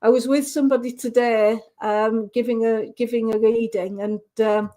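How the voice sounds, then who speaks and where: A woman with a Yorkshire accent giving a live psychic reading on the radio, gentle and reassuring, often restarting her sentences. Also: fairly high pitch, steady tone, moderate pace.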